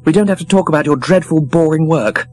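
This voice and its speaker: Male narrator voicing a brassy, sneering society girl in a storybook, high and breathy. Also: fast talker, a little mellow, no room sound, soft background music, lightly acted. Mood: mocking. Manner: scornful, gushing, swearing freely. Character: brash posh young woman, mocking and loud.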